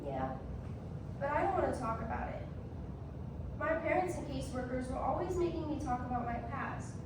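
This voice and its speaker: Little girl acted by a woman, high voice, subdued, reluctant, stage drama, very echoey room. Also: very animated, a little fast. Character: reluctant young girl recalling coerced abuse memories. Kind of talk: competitive stage readers theatre. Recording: echoey room, distant mic, noisy.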